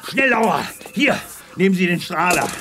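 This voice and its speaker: An actor playing a blustering, hot-tempered general with urgent delivery in a science-fiction radio play. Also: male voice, sits high, big pitch swings, moderate pace, over music. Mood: angry, commanding. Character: gruff, hot-tempered, resolute male space general.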